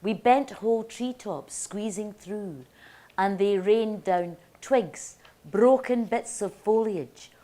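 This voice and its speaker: An older woman with a Motherwell Scottish accent, softened by Glasgow, reading her poems aloud and talking casually between them as a poet giving a lecture. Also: medium pitch. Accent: Motherwell Scottish, Glasgow-tinged. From Scotland. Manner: rhythmic, deliberate reading of verse, then chatty asides with ums. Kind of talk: poetry reading and lecture talk.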